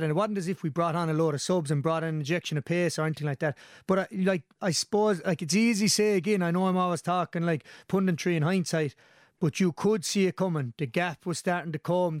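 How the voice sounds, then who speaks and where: A man with a Limerick Irish accent talks sport in a casual, enthusiastic, rambling way. His voice sits on the high side and is slightly hoarse. The sound is dry, with very little room on it. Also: a little mellow, no room sound. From Limerick, Ireland. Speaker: sports podcast pundit and commentator.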